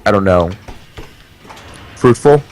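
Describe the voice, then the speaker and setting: A man's voice: fairly low pitch, animated, takes it slowly, a little mellow, slight background noise. A man chatting casually as co-host of a game stream, rambling and swearing freely. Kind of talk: casual chat over gameplay.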